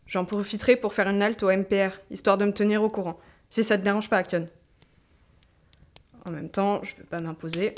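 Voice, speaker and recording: A woman's voice: medium pitch, steady tone, brisk, close to an everyday voice. Woman acting self-assured young rebel woman. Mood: casual. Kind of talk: audio drama acting.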